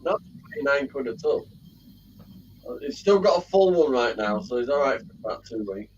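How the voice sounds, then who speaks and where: A man's voice: medium pitch, expressive, moderate pace, a little hiss or hum. Grumbling man, distant dull mic. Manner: grumbling, wry. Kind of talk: casual group chat.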